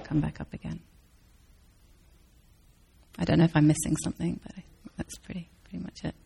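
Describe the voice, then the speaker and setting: A woman's voice: low voice, flat delivery, brisk, creaky, husky. A woman Zen teacher answering audience questions in a thoughtful, searching way. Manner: thoughtful, searching, with restarts and soft laughs. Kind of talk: dharma talk Q&A answers.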